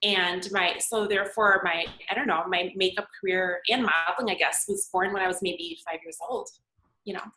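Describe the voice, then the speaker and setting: A woman's voice: on the low side, steady tone, moderate pace, pushed and bright, roomy sound, poor audio. A woman in her forties whose first language was Serbian, earnestly telling her life story as a podcast guest, with many fillers. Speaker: podcast guest, makeup artist and model. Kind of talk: conversational interview answers.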